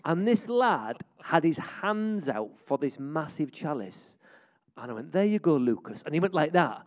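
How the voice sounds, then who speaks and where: A man's voice: a little high, moderate pace. A man, a vicar, telling funny stories in an interview in church. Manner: jokey, animated storyteller. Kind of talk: church interview, comic storytelling.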